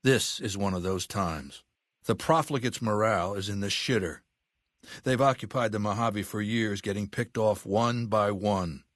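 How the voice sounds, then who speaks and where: A man's voice: lowish voice, moderate pace, no echo, clean studio sound, close to an everyday voice. An older man playing an imperious, ruthless warlord in a video game, speaking with cold, commanding, formal diction. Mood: grim, calculating. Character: imperious, ruthless ageing male warlord commanding a slaver legion. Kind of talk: video game character acting.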